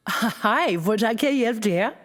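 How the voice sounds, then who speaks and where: An actress playing an unhurried guitar shop keeper, speaking English in an audio drama. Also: female voice, on the high side, animated, fairly bright tone. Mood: calm, obliging. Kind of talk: naturalistic audio drama acting.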